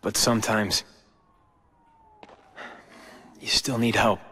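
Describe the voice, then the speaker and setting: A man's voice: lowish voice, little pitch movement, normal speed, a bit bright, slightly rough. A man with an American accent voicing an embittered, brooding young corporate heir in a video game cutscene. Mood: bitter. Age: young adult. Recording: long hall-like reverb. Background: background music.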